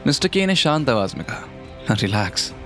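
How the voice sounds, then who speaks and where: A man's voice: mid-range voice, expressive, a little fast. Male drama-series narrator over music. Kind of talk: dramatic scripted story narration. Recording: music bed under the voice.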